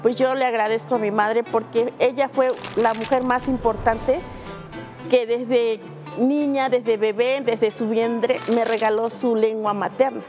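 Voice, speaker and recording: A woman's voice: on the high side, fairly even intonation, unhurried. A woman interviewee speaking in a sincere, grateful way. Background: guitar music.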